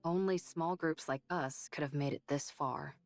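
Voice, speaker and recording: Actress as low-voiced young woman soldier over music. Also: normal speed, dry recording, natural voice. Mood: focused, confident. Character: capable young woman soldier and technician, anxious, protective. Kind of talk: anime game voice acting. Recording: game music behind.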